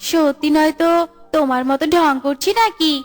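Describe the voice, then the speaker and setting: Bossy cartoon fox wife played by a woman, extremely high voice, nagging and teasing, faint music underneath. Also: fairly even intonation, moderate pace, natural voice. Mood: encouraging. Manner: scolding, teasing. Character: sharp-tongued bossy fox wife and mother. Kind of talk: Bengali cartoon voice acting.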